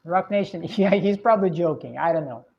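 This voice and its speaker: A woman's voice: fairly low pitch, animated, gravelly. Russian woman musing on a livestream. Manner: reflective, unhurried, many fillers. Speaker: livestream host. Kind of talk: casual livestream chat reading viewer comments. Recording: dull lo-fi stream audio.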